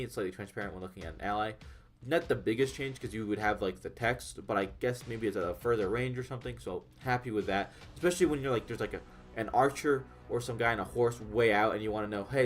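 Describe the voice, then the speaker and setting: A young man with a low, nasal voice, audibly stuffed up from a cold, talks through game update notes in a loose, rambling way. He swears freely and restarts his sentences. Faint background music plays beneath him. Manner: rambling, profane, frequent fillers and restarts. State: congested, under the weather. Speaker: gaming YouTuber. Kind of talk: casual commentary reading patch notes.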